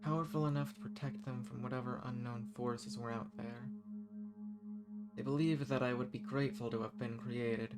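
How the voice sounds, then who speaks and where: Guy doing an ancient dangerous villain, flirty n wistful, kinda posh wording, medium pitch, intimate roleplay audio, music really loud behind. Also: fairly even intonation, moderate pace, lightly acted. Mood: calm, menacing. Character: ancient sealed villain, living weapon, flirty, dangerous, softened.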